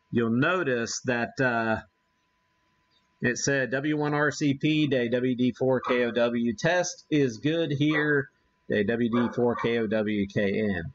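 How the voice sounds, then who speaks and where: A man hosting a casual live demo, thinking aloud in a halting, folksy, self-deprecating way. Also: mid-range voice, a bit bright, a little hiss or hum. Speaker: host of a live ham radio demonstration. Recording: dull, muffled lo-fi sound.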